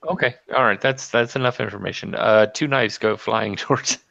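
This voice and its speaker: A man playing a tabletop game, wry and quick to laugh. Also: fairly low pitch, steady tone, creaky, a bit bright, no echo. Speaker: player. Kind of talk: tabletop role-play. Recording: dull lo-fi call audio with faint noise.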